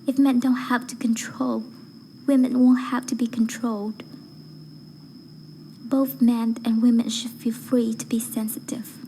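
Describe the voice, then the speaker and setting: A woman with a fairly high, very mellow voice recites a prepared speech. Her delivery is careful and earnest, with very little rise and fall. Faint noise sits behind her. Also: little pitch movement, a little slow, poor audio. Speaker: voice acting learner reading a speech. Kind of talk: rehearsed public speech recitation.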